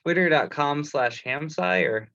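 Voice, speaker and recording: A man's voice: fairly high pitch, expressive, unhurried, gravelly, pushed and bright, no room sound. A man speaking casually and informally in an online meeting. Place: online conference call. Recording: muffled, band-limited conference call audio.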